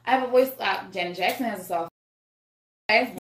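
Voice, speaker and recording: A woman's voice: medium pitch, quick pace, a bit bright. A woman singer talking casually as a podcast guest, rambling and swearing, with many restarts. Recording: echoey room.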